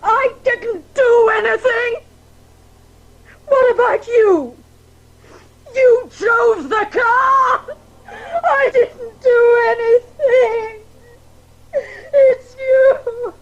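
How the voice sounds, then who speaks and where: An actress playing a distraught, defensive wife in a radio play, crying out in guilt. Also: female voice, very high-pitched, very slow, pauses often, dull audio, slight background noise, heavily acted. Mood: hysterical, accusing.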